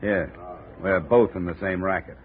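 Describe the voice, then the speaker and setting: An actor playing a wry, hard-boiled insurance investigator who narrates a 1950s radio drama in dry, sardonic first person. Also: male voice, sits low, steady tone, moderate pace, lightly acted. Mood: probing. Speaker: narrator and lead. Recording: tinny old radio broadcast, faint hiss.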